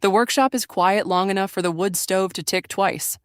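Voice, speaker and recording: A narrator reading an audio drama in a measured, literary manner. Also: medium pitch, smooth, dry recording, clean studio sound.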